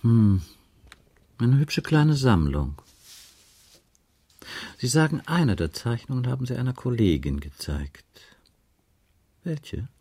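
A man's voice: mid-range voice, big pitch swings, takes it slowly, long pauses, mellow, breathy edge, full character voice. A man playing a patient, dry investigator in a radio crime drama, asking terse, probing questions and musing as he goes. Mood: methodical, probing. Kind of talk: 1980s German radio drama dialogue.

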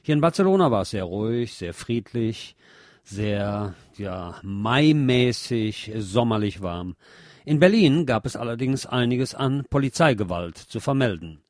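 A man hosting a radio show, presenting commentary and reading news aloud in a deliberate way with pauses. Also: mid-range voice, expressive, a little mellow, no room sound, clean studio sound.

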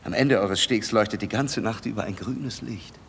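An actor in a radio play voicing a wealthy, lovestruck, affectedly genteel man in a theatrical manner that swings from giddy to hushed. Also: lowish voice, normal speed, gravelly, slight background noise. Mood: proud, tender. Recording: long reverb, music underneath.

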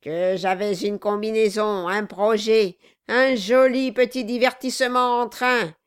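A woman voicing a malicious male dwarf in an audiobook, loud, commanding and mocking. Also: fairly high pitch, steady tone, a little slow, clean tone, natural voice. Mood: irritated sneering. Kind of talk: dramatized audiobook reading.

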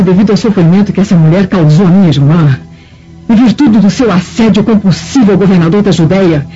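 A scornful Roman mother in a radio drama. Her voice is mellow, yet the delivery is theatrical, emphatic and full of venom. The sound is a little dull, with music softly underneath. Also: mid-range voice, slightly rough.

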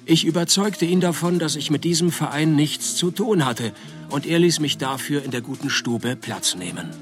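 Actor as deadpan detective, slightly high-pitched. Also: male voice, moderate pace, faint music behind, close to an everyday voice. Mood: wry, deadpan. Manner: deadpan, dry wit. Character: laconic, sardonic male private detective. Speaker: narrator and lead. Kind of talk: radio play narration and dialogue.

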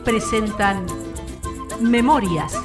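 A woman's voice: medium pitch, very slow. A woman reading a scripted radio programme introduction as an announcer. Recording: loud music under the announcement.

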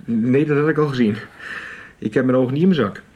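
A man playing a smooth-talking, pushy and flirtatious sales manager in a radio soap, with a slick, familiar manner. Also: medium pitch, fast talker, natural voice. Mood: flirtatious, teasing. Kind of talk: 1990s Dutch radio soap acting. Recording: roomy, off-mic, noisy radio drama scene.

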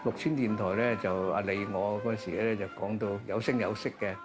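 A man with a Cantonese accent looks back nostalgically in an interview. His voice is fairly low, with music clearly playing behind it. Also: fairly even intonation, brisk. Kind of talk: nostalgic recollection.

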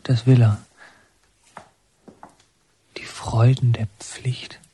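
An actor playing a brooding young inmate in an introspective radio monologue, musing in halting fragments, breathy and often half-whispered. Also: male voice, medium pitch, level tone, mellow, a little hiss or hum. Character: brooding young male inmate remembering his father.

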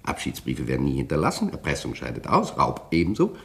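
A man's voice: very deep voice, wildly swooping pitch, brisk, gravelly, heavily acted. An actor playing an aging, weary British detective and father in a radio drama, plaintive and evasive. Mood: lamenting. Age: older.

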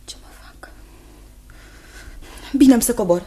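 A woman's voice: fairly high pitch, warm tone, natural voice. An actress playing a frightened, reserved young lady in a radio drama, speaking formally and guardedly. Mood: alarmed. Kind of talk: Romanian radio theatre acting. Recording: echoey radio play with music behind.

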